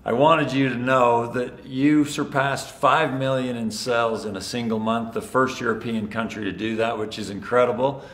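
A middle-aged man, a company executive, speaks upbeat and congratulatory to sales leaders while standing before a camera in a bright office. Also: mid-range voice, steady tone, normal speed, hardly any pauses. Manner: upbeat and congratulatory, some fillers. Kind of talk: scripted-free video address to sales leaders. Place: large bright office with tall windows, indoors. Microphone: standing before a fixed camera, two-shot. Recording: echoey room.

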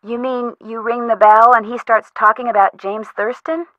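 A woman's voice: on the high side, steady tone, normal speed, dry recording, lightly acted. An actress playing an inquisitive, friendly young female detective in a video game, on a dull recording. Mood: curious, friendly. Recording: dull, band-limited sound.

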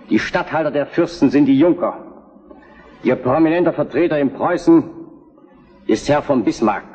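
An actor playing a defiant liberal parliamentarian in a historical radio drama, declaiming solemnly and deliberately. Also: male voice, mid-range voice, darkish tone, natural voice. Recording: muffled, long reverberant assembly hall.

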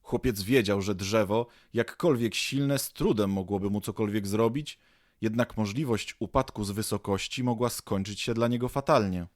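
A man's voice: lowish voice, moderate pace, no echo, clean studio sound. A man narrating an audio drama in a scripted storytelling style.

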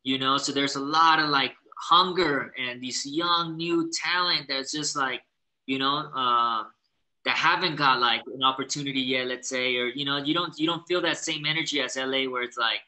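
A man's voice: mid-range voice, moderate pace, rough recording. A young adult man, a professional skateboarder, answering casually over a video call, full of "like" and "you know". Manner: relaxed, chatty, frequent "like" and "you know". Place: indoor living room. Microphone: laptop webcam on a video call.

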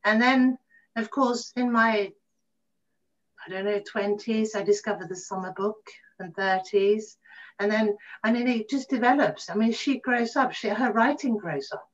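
Higher-pitched middle-aged woman, halting storyteller. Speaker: interview guest, actor. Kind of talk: casual reminiscing in an interview. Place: room at home, on a video call. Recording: muffled video call audio.

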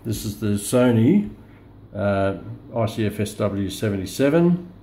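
A man's voice: lowish voice, animated, unhurried. A middle-aged Australian man giving a casual, unscripted product demonstration, hesitant and thinking aloud, recorded on a phone. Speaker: radio hobbyist presenting a video test. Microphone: phone camera held back from speaker. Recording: loud radio static and hiss, phone camera. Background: shortwave radio noise.

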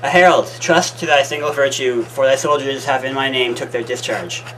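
A man playing a stern, indignant duke in amateur Shakespeare, brisk and declamatory, recorded from a distance with noise. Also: medium pitch, close to an everyday voice. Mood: forceful. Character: stern, commanding Duke of Albany, indignant male noble. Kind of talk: amateur Shakespearean stage acting.

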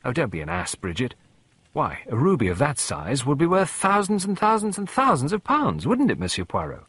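A man's voice: sits low, wildly swooping pitch, quick pace, husky, no echo, full character voice. A man voicing an eager, slangy, exclamatory schoolboy in an audiobook. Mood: excited. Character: eager, slangy English schoolboys at Christmas dinner. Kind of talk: audiobook character voice.

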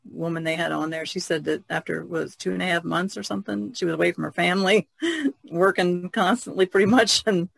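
A man's voice: high voice, steady tone, fairly quick, gravelly, no echo. A man chatting casually on a podcast about art, thoughtful and trailing off at times. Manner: thoughtful, trailing off, laughs softly. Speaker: podcast guest artist. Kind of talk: casual discussion.

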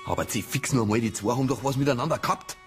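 A man's voice: medium pitch, very fast, faint music behind, natural voice. An actor playing an impatient, blunt Bavarian police detective, a grumbling man, in a naturalistic radio drama.

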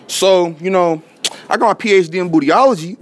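A young American man hosting playful interviews at a high school in a casual, slangy way. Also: fairly quick. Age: young adult. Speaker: host of street-style prank interviews. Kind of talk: casual joking public interview. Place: indoors at a high school, rooms and hallway.

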